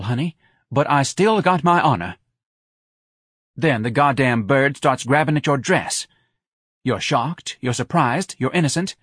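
A man's voice: medium pitch, a bit bright, breathy edge, no echo, studio quality, voice pushed up. A man voicing a hard, scheming woman who runs a bar, curt and browbeating, in an audiobook. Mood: directing.

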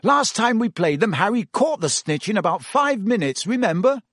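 Guy putting on a cheeky teen schoolboy, breezy and dismissive, higher pitched. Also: very animated, moderate pace, fairly bright tone, no echo, clean studio sound, full character voice. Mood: breezy, teasing. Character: cheeky teenage schoolboy, offhand and joking.

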